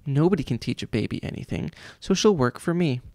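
A man's voice: a little high, animated, brisk, a little mellow, dry recording. A man voicing a pompous, condescending vice principal who thinks himself a genius, read for a children's storybook. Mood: pompous, scolding. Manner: condescending, mocking. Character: pompous, cruel male school vice principal, self-declared genius.

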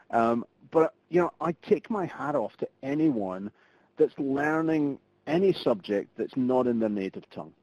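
A man's voice: mid-range voice, a little mellow, husky, no echo. A middle-aged man giving a live online training presentation, chatty and full of fillers and restarts. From Scotland. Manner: conversational, lots of fillers and restarts. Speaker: webinar presenter. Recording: muffled web conference audio.

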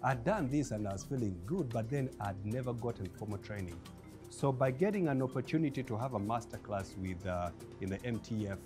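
Animated Ugandan man telling stories. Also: fairly low pitch. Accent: Ugandan English. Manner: animated storyteller, acts out quoted voices with laughs. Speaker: film director and producer, guest. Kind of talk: interview conversation. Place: bright indoor studio set with armchairs.

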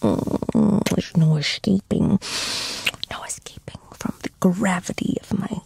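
A woman playing an affectionate, teasing girlfriend in an intimate ASMR roleplay, cooing tenderly and often slipping into soft, breathy whispers. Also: lowish voice, expressive, exaggerated voice. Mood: playful, adoring. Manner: cooing, playful, slow and tender. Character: affectionate, teasing young girlfriend comforting her shy boyfriend.

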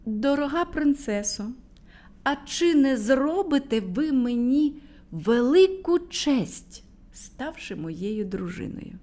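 An eager young prince in love who speaks with gallant courtesy, as a woman reading the story puts him on. The voice stays fairly high and moves a lot. It sounds like a storybook read for children. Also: sing-song, takes it slowly, warm tone, heavily acted.

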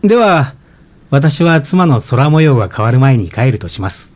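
An actor playing a polite, gentle, self-effacing expectant father in reflective radio drama dialogue. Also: mid-range voice, sing-song, normal speed, close to an everyday voice. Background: rain.

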